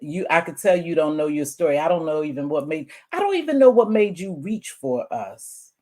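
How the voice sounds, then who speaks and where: Streamer woman, hoarse, sassy, scolding, casual rant straight to camera viewers. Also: big pitch swings, moderate pace. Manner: scolding, sassy, swings between loud outbursts and low mutters. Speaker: online streamer.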